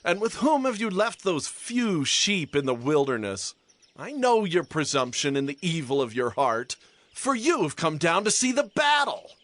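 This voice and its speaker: An actor playing an angry, scornful eldest brother, accusing and indignant, in a dramatized scripture recording. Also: male voice, a little high, very animated, normal speed, a bit bright, dry recording.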